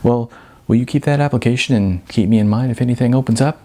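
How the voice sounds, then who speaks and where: A man's voice: medium pitch, quick pace, dark tone, natural voice. A man voicing a naive, puzzled young aspiring actor in an audiobook, hesitant and questioning. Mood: polite, unsure.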